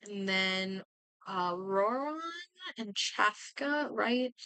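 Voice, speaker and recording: A woman's voice: medium pitch, very slow, pushed and bright, smooth, no echo, rough recording. A woman game streamer chatting casually as she plays, muttering and thinking aloud to herself. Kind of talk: casual livestream chat while playing a game.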